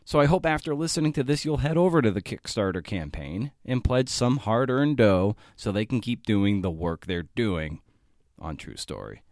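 A man's voice: on the low side, animated, normal speed, darkish tone, dry recording, studio quality. A man hosting a podcast in a casual, wry way, moving between scripted introduction and interview questions. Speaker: podcast host.

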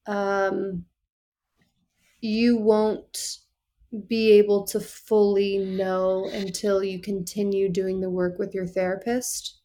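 A woman in her thirties speaks at an ordinary middle pitch. She is blunt and direct, yet supportive as she answers a friend's worry. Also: level tone, slow, dark tone. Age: 30s. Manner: direct and frank, reassuring. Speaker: podcast guest. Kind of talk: casual conversation.

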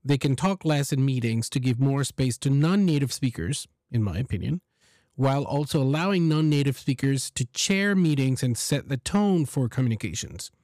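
A man's voice: mid-range voice, animated, moderate pace, a little mellow, no echo, clean studio sound. Earnest male podcast host. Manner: earnest, emphatic, persuasive. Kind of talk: casual podcast commentary.

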